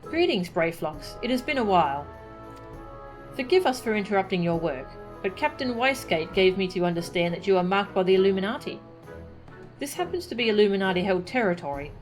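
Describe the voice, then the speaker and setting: A woman's voice: medium pitch, very animated, fairly quick, soft background music, close to an everyday voice. A woman reading the lines of a polite, formal young noble companion in a game.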